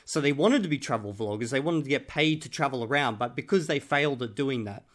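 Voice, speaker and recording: A man's voice: mid-range voice, expressive, quick pace. Blunt, sarcastic man. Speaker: reaction video host. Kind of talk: casual reaction commentary.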